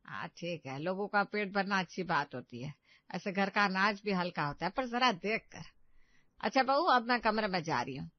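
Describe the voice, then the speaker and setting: A woman's voice: mid-range voice, lively intonation, normal speed, no echo, highs cut off, natural voice. A woman voicing a stern, suspicious older mother-in-law who scolds in an indignant, accusing way for an audio story. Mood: grudging.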